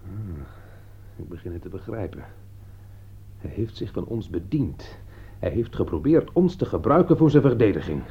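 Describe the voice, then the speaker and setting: A man's voice: lowish voice, very animated, moderate pace, long pauses, a little hiss or hum. A man acting in a radio play as an anxious, indignant friend turned accuser, agitated and reproachful. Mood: uneasy. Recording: dull lo-fi radio recording.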